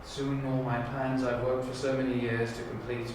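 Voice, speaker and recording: A man's voice: medium pitch, flat delivery, close to an everyday voice. Man as grave old father, very echoey. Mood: grave, secretive. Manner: halting, grave, portentous. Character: weary, secretive old alien Time Lord father. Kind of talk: improvised audio drama audition. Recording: very echoey room, distant mic, clear noise.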